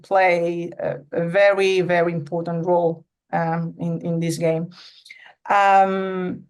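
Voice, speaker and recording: A woman in her thirties from Argentina with an Argentine accent, a Spanish native speaker, talking as a podcast guest in a casual, earnest way, often pausing with fillers as she searches for words. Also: lowish voice, darkish tone, clean tone. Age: 30s. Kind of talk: casual interview conversation.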